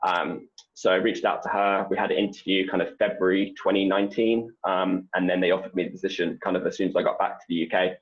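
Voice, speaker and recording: A young man from England with an English accent, answering interview questions in a relaxed, rambling way with lots of fillers. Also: low voice, fast, highs cut off. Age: young adult. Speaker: postdoc researcher guest.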